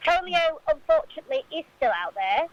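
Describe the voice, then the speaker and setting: A woman's voice: high-pitched, huge pitch swings, brisk, muffled recording. A woman speaking as a football club spokesperson, giving answers in a radio interview.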